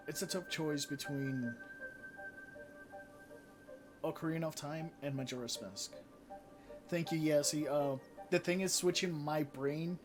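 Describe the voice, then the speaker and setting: Man chatting over game music. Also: fairly high pitch. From Mexico. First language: Spanish. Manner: chatty, reads out viewer comments, frequent fillers and restarts. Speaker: live streamer and channel moderator. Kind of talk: casual live-stream chat while playing a game. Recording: game music playing loudly underneath.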